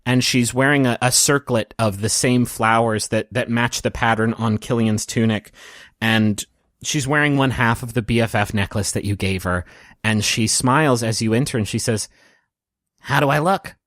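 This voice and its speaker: A man narrating a tabletop roleplaying story as game master, in a gentle, halting, descriptive way. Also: mid-range voice, steady tone, no echo, clean studio sound.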